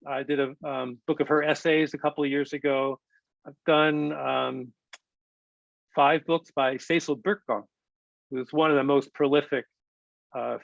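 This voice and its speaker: A man's voice: mid-range voice, creaky, dry recording, muffled recording. A male translator answering audience questions on a video call, casual and rambling, with many ums and restarts. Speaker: translator giving a talk. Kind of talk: virtual lecture Q&A, casual.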